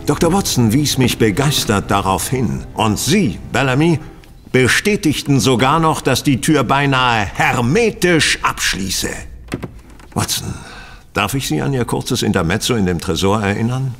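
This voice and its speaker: A man's voice: mid-range voice, lively intonation, unhurried, fairly bright tone, faint music behind. An actor playing a shrewd, stern male detective, precise and commanding, in a German audio drama. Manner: precise, probing, authoritative.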